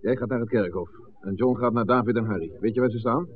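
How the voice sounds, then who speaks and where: A man's voice: lowish voice, fast talker, slight background noise, natural voice. An actor playing a plain, down-to-earth village man organising a plot in a Dutch radio drama on an old recording. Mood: businesslike. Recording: narrow-band old radio recording, background voices.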